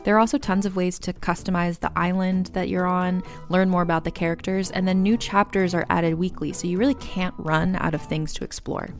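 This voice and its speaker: Woman doing a conversational ad read, lowish voice, bit of music under it. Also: steady tone, brisk, hardly any pauses. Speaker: advertisement presenter. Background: light music.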